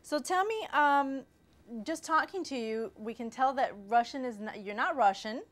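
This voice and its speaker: A woman's voice: high-pitched, animated, moderate pace. A woman hosting a local TV interview who asks friendly, curious questions. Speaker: host of city news program.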